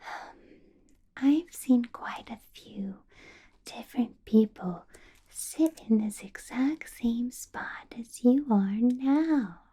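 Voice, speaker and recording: An ancient vampire woman in charge, acted out by a woman, speaks in a very dark, breathy whisper for an ASMR roleplay. She takes her time over each phrase, teasing and coolly menacing, with lingering pauses and a possessive edge. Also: fairly high pitch, expressive, full character voice. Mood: quiet menace. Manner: slow, deliberate, teasing questions with lingering pauses. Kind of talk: ASMR roleplay, personal attention.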